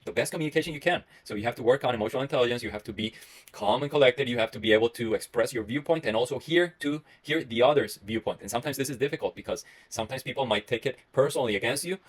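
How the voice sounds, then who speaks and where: Fast-talking Costa Rican man, earnest. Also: mid-range voice. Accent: Costa Rican Spanish. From Costa Rica. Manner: earnest, rambling, many filler uhs and restarts. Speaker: internal medicine resident. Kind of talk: solo reflective talk to camera.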